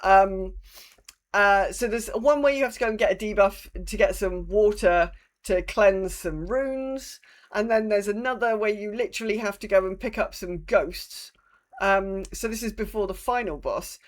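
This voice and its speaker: A woman's voice: mid-range voice, moderate pace. A woman co-hosting a podcast in casual gaming chat, speaking informally and hesitantly with some swearing. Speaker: podcast co-host.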